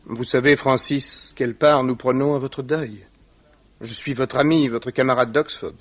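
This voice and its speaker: A man's voice: mid-range voice, fairly even intonation, measured pace, lightly acted. Male actor as well-off loyal young friend, formal radio-play delivery; mid-range voice, dull vintage recording. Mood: grave, consoling. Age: young adult. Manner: formal, earnest. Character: rich, loyal, earnest young heir consoling his friend. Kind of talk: 1950s French radio drama acting.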